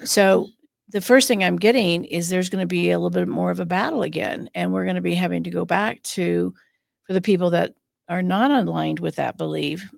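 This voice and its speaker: A woman's voice: on the low side, vocal fry, dry recording, studio quality. A woman hosting a spiritual podcast as a psychic medium, talking in a chatty way with frequent fillers and restarts. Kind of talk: casual podcast chat.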